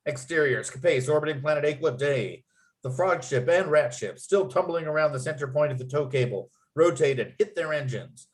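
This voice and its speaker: A man's voice: on the high side, lively intonation, normal speed. A man reading out screenplay scene headings and action as the narrator of a script table read. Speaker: narrator and writer.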